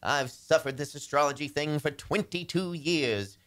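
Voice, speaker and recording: A fretful, henpecked businessman acted by a man in an old-time radio comedy. His voice rides high and agitated, pleading melodramatically and leaning on old proverbs. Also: moderate pace, fairly bright tone, lightly acted. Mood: urgent pleading.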